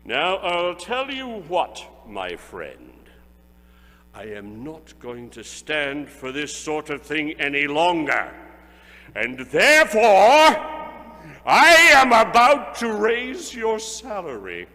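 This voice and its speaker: A man voicing an old reformed miser who plays at gruffness and bursts with delight. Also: a little high, sing-song, slow pace, sharp tone, long reverb tail, voice pushed up, full character voice. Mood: mock-gruff, delighted. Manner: mock-gruff then delighted.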